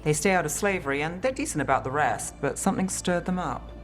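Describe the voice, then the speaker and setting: A woman's voice: deep voice, lively intonation, fast. An actress playing a dry, world-weary woman detective in a video game, wry and understated, with music behind her. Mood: wry, businesslike.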